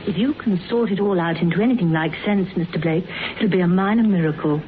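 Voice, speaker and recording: An actress playing a brisk, practical detective's secretary in a classic radio drama, plain-spoken and expressive. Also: female voice, lowish voice, natural voice. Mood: exasperated, puzzled. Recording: muffled, hissy old radio recording.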